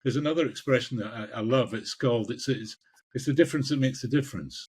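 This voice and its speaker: Husky older Scottish man, passionate. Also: mid-range voice, fast, darkish tone. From Scotland. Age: 60s. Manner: passionate, emphatic, repeats phrases for emphasis. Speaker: executive coach, podcast guest. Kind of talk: conversational interview answers.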